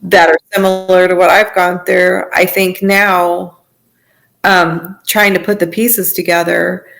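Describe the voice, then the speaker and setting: Woman, low pitch, heavy vocal fry, conversational testimony, reflective, halting delivery. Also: little pitch movement, fairly bright tone, poor audio. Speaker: podcast guest telling a personal testimony.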